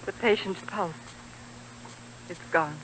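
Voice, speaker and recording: An actor playing an anxious, dutiful assistant to an army surgeon in a 1940s radio drama. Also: male voice, high-pitched, takes it slowly, fairly bright tone. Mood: anxious, subdued. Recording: humming, noisy 1940s radio broadcast.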